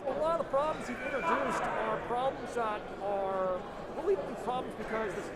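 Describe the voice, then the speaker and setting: Man, audience question, halting, restarts, crowd noise. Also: very high voice. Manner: halting, restarts, thinking aloud. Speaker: audience member asking a question.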